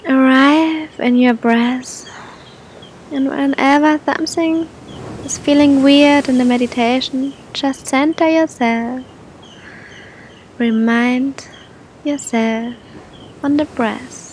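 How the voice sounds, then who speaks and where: A woman guiding a meditation in a gentle, soothing manner, speaking to the listener with long, unhurried pauses. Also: steady tone. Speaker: meditation guide. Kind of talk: guided meditation, spoken softly to the listener. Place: outdoors on a beach. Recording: outdoor recording with low rumbling noise.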